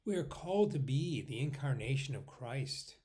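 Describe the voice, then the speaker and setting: Guy leading a spiritual meditation, really slow, medium pitch that moves around a lot, pauses between bits. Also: lively intonation. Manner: unhurried, pausing between short phrases, often trailing off softly. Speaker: meditation guide.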